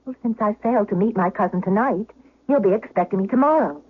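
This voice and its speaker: An actress playing an imperious, pleading aristocratic wife in an old-time radio drama, formal and theatrical. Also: female voice, medium pitch, fast talker, husky, no room sound, close to an everyday voice. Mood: coaxing, pleading. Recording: thin telephone-like vintage radio broadcast.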